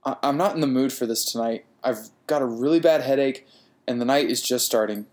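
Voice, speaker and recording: A man's voice: mid-range voice, brisk, a little mellow, close to an everyday voice. A man voicing the uneasy but defiant hero of a horror story, speaking his dialogue. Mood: nervous, pleading. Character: uneasy but defiant male protagonist confronting a monster.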